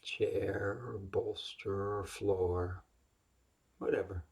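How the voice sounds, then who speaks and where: A man leading a guided yoga nidra meditation as the teacher, speaking gently and unhurriedly with long pauses in a husky, breathy, gravelly voice. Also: deep voice, steady tone.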